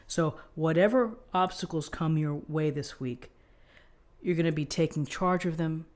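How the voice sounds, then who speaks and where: Lady reading tarot cards off the cuff, explains stuff, gently encouraging. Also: low-pitched, a little slow. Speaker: tarot reader.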